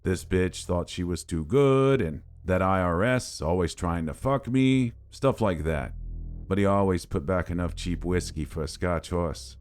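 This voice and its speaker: A man with a very low voice narrates a scripted horror tale. He tells it in the first person, with a wry, world-weary manner suited to a bartender's recollection. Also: measured pace, darkish tone.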